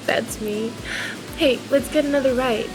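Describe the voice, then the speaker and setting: A woman's voice: high voice, measured pace, over music, rough recording. A woman playing a sweet, carefree teenage girl in a film script table read. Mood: dreamy, confiding.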